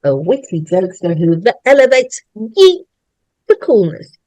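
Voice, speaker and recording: A woman serves as narrator, reading screenplay directions aloud. Her voice sits at a middle pitch, and the recording is dry with little room sound. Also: very animated, unhurried, dark tone, no room sound.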